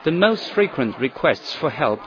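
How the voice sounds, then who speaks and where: A man narrating a television news report in a measured, even scripted delivery. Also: fairly high pitch. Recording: dull lo-fi broadcast audio with crowd and street noise.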